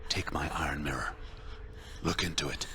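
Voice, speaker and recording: An actor playing a grave otherworldly guide to the underworld, speaking in a solemn, portentous, deliberate way. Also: male voice, extremely low pitch, steady tone, background noise. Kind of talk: dramatic game voice acting.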